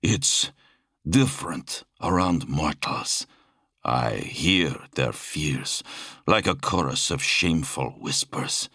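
A man voicing a grave, brooding warrior in an audiobook, speaking softly and earnestly in measured phrases. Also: extremely low pitch, expressive, slightly rough, no room sound, studio quality, full character voice. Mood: haunted, sombre. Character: grave, brooding, fear-sensing male warrior brother. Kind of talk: dramatic audiobook character voice.

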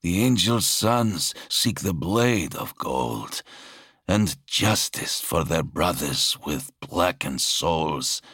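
A man's voice: low-pitched, expressive, takes it slowly, fairly bright tone, breathy edge, no room sound, studio quality, exaggerated voice. A man voicing an entranced warrior prophet for an audiobook, reciting ominous verse in a solemn, portentous way. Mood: trancelike, ominous.

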